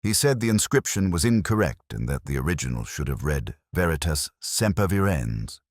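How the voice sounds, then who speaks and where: A man with a very deep voice narrates a first-person detective story. His delivery is measured and melancholy, with lively movement in pitch. The sound is dry, with no room around it. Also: no room sound. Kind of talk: first-person scripted literary mystery narration.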